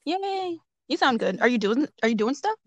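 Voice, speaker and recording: A bubbly woman host speaks a touch high, chatty and quick to laugh over a remote call. Her nose sounds a little congested, and the audio is dry and close with no room around it. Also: very animated, no echo, highs cut off. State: slightly congested. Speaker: podcast host. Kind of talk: reading an introduction, then casual chat.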